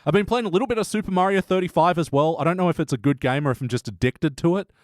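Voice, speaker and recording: A man's voice: fairly high pitch, very fast, dry recording, clean studio sound. A man hosting a podcast in casual chat, talking informally and enthusiastically with plenty of swearing. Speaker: podcast host.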